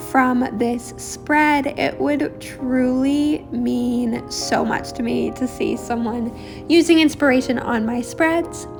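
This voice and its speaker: A young woman with a high voice that turns gravelly and raspy, rambling casually through a journal walk-through with many ums. Clear music plays behind her. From Australia. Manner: rambling, chatty, many fillers. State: raspy, harsh throat. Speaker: bullet journal vlogger. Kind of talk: casual voice-over while drawing.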